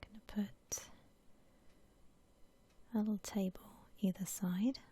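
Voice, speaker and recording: A woman gives whispered ASMR game commentary in a very breathy, soft voice. She takes her time, gently musing to herself as she goes. The tone is mellow and relaxed. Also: mid-range voice, slow. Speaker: gaming ASMR host.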